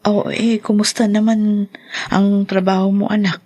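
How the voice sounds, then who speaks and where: An actress playing a gentle, ailing older mother in a radio drama, homely and motherly, with a Filipino accent. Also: medium pitch, level tone, measured pace, close to an everyday voice. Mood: warm interest.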